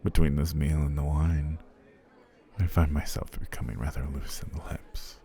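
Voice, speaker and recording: A man voicing a formal, flattering male potion seller on a dinner date in an ASMR roleplay, slipping into soft whispers. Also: extremely low pitch, normal speed. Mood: persuasive. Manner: formal, courtly, flattering speech.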